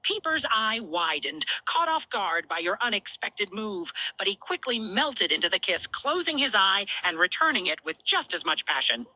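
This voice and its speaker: A woman reads scripted romance narration. Her voice is very bright, with lively pitch movement and a slightly husky grain. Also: normal speed, few pauses, no room sound, low-quality recording.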